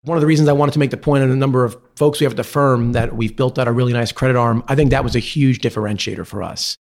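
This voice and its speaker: A man answers questions as an executive guest in a business interview. His voice sits at a middle pitch. He explains things in an articulate, measured and diplomatic way.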